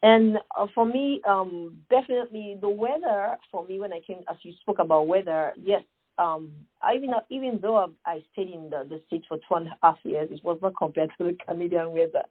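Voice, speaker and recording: A middle-aged woman with a Sierra Leonean accent speaks as an interview guest, emphatic and earnest. Her voice sits low and breathy. The sound is thin and phone-like. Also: brisk. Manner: earnest, emphatic, many fillers and rhetorical questions. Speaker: guest, nonprofit founder. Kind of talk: conversational interview answer.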